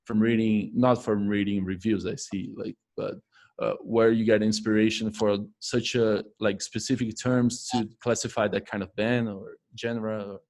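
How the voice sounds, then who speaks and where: A man with a Portuguese accent, a non-native English speaker, asking interview questions haltingly with many fillers. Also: lowish voice, level tone, darkish tone.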